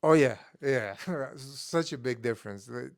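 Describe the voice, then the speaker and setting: A young man born in Estonia whose first language is Armenian, casually telling stories in an interview with a relaxed, filler-heavy manner. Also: medium pitch, a little slow, creaky. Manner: relaxed, many fillers, acts out his younger self with rising excitement. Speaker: guest, MMA fighter.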